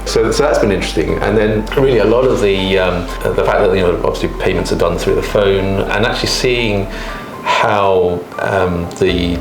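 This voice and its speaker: A middle-aged man speaks thoughtfully in a low, gravelly register, pausing and repeating words as he answers. The room echoes noticeably around him. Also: music in the background. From born in Hong Kong, living in the UK before China. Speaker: interview guest, emergency doctor. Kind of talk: conversational interview answers. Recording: roomy echo, clear background noise.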